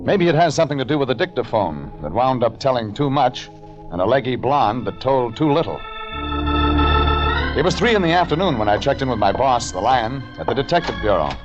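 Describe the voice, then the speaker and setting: A man's voice: mid-range voice, big pitch swings, a little fast, lightly acted. A man playing a cynical, wry private investigator in a 1940s radio detective drama. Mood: wry narrating. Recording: dull vintage radio recording, organ music.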